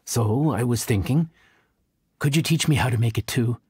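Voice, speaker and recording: An actor playing a friendly, humble young inn chef in a video game, folksy and easily flustered. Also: male voice, mid-range voice, normal speed, a little mellow, slightly rough, no room sound, clean studio sound. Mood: confiding. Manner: affable, folksy, slightly nervous. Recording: game music behind the voice.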